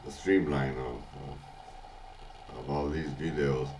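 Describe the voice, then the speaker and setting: An older man speaks in an extremely deep, congested and hoarse voice, slow and halting with frequent restarts. He talks at a very unhurried pace in a casual video diary at home. A faint hiss of room ambience sits underneath. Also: fairly even intonation, darkish tone. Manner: halting, many restarts and fillers, chuckles often. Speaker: vlogger. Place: at home, early morning.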